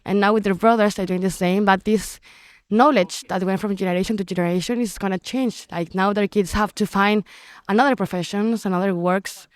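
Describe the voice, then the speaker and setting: A woman's voice: mid-range voice, fairly even intonation, fairly quick, no echo. A woman, speaking English as an as an interview guest, earnest and sometimes searching for words. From Chile. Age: teens. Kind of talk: casual interview.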